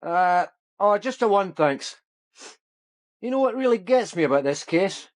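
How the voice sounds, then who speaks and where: A man's voice: sits high, lively intonation, unhurried. A reflective male police detective off duty in a radio drama. He talks in a natural, conversational way, musing and a little world-weary. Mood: troubled, reflective.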